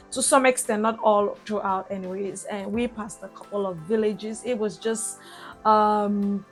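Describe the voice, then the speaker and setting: A young woman with a Cameroonian accent, recapping her travels in a chatty vlog with fillers and restarts. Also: fairly high pitch. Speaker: travel vlogger.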